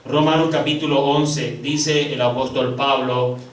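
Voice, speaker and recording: A man preaching a sermon to his congregation, calling them brothers and swinging from loud, high, drawn-out emphasis to hushed low passages. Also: fairly even intonation, a little hiss or hum. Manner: addresses congregation as brothers, slow drawn-out stresses. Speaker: pastor. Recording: echoey room, picked up from a distance.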